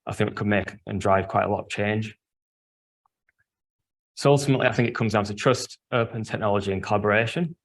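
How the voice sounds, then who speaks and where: A man's voice: lowish voice, quick pace, long pauses. A man from Leeds with a Yorkshire accent, giving a conference talk in an earnest, explanatory way with frequent ums and restarts. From Leeds, England. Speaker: speaker at an industry event.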